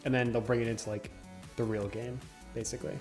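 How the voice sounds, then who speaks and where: A man's voice: mid-range voice, little pitch movement, fast talker, a little mellow, soft background music. A young man co-hosting a podcast, chatting casually, laughing often and explaining game rules. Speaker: podcast co-host. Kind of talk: casual chat.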